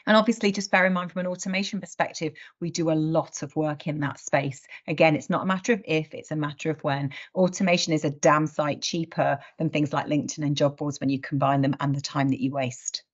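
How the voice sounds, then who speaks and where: A middle-aged woman with a low voice hosts an online webinar. She talks informally and directly, with a wry, chatty manner. The sound is slightly dull, as from a video call. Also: fairly even intonation, a little fast, hardly any pauses. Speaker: webinar host.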